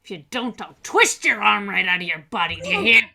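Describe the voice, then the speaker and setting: A woman's voice: mid-range voice, vocal fry, sharp tone, full character voice. A woman playing a menacing old blind beggar sailor in a radio play reading, with a fawning manner that can turn threatening. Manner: slow, fawning turning threatening.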